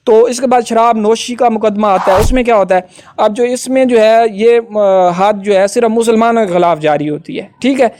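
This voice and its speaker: A man's voice: high voice, a little mellow. A man with a Pakistani accent giving an informal explanatory law lecture, often adding little tags to check that listeners follow.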